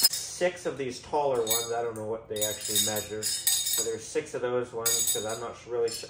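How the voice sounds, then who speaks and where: In an echoey room with faint noise behind him, a man talks casually to his viewers. His voice is medium in pitch. He rambles informally through an unscripted show-and-tell, thinking aloud as he goes. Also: fairly bright tone. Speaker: DIY video host.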